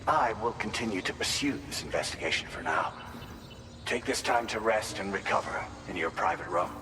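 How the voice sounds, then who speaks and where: Man playing a distant, reverberant, low-voiced investigator. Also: moderate pace, close to an everyday voice. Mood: calm, grave. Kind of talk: video game cutscene acting. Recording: distant, reverberant, noisy transmission.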